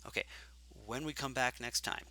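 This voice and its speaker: A man teaching programming in a live coding lecture, thinking aloud and correcting himself as he goes, his voice growing hoarse from long talking. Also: medium pitch, normal speed, bright, forceful tone, no room sound. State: getting hoarse.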